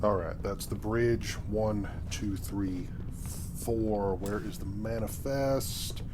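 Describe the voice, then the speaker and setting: A man's voice: fairly low pitch, slow pace. A man voicing a brash, wry male space adventurer and ship captain in a video game roleplay. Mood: muttering, businesslike. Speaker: gaming video creator performing his own voice-over. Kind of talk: in-character game roleplay, acted. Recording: loud engine and battle noise.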